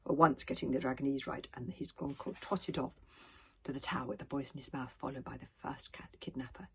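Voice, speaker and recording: A woman reading a children's story aloud with animated expression, now and then stumbling over words. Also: very deep voice, brisk, whispered. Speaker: author reading own book.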